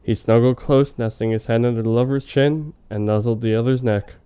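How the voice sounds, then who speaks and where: A man with a soft-edged, relaxed tone reads a story aloud with mock drama. His voice is smooth and clear, and he slips into casual, amused commentary between hammy narrated lines. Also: medium pitch, a little fast. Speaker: reader of fan fiction on a comedy web show. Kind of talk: mock-dramatic reading with casual joking asides.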